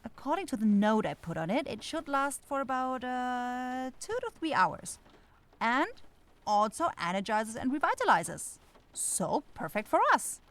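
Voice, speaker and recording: A woman's voice: fairly high pitch, very animated, unhurried, a bit bright, full character voice. A woman playing a flustered, scatterbrained but caring young forest witch who dithers and searches for words in a fantasy roleplay audio drama. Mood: pleased, explaining.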